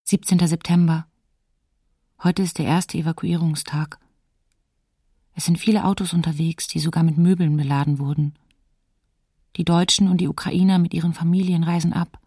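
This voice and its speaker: A woman's voice: fairly low pitch, fairly even intonation, moderate pace, long pauses, warm tone, slightly rough, dry recording, studio quality. An actress playing an anxious female diarist, reading her entries in a subdued, intimate way in a documentary radio play. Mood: uneasy, subdued.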